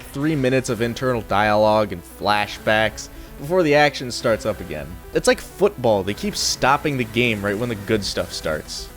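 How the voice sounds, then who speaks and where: A young man narrates a video review with animated, varied intonation. His delivery is casual, blunt and dryly sardonic, over faint music. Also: mid-range voice. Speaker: anime reviewer.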